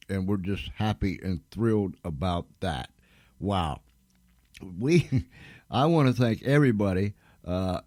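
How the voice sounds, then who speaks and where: A man's voice: on the low side, darkish tone, no echo, clean studio sound. A man hosting an informal comic radio show, with a folksy, joking, chuckling way of talking. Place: radio studio.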